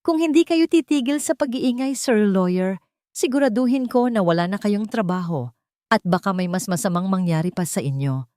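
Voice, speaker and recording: A woman's voice: medium pitch, lively intonation, normal speed, dark tone, no room sound, clean studio sound, close to an everyday voice. A woman voicing a cold, scornful daughter-in-law villainess in an audio drama, threatening and taunting.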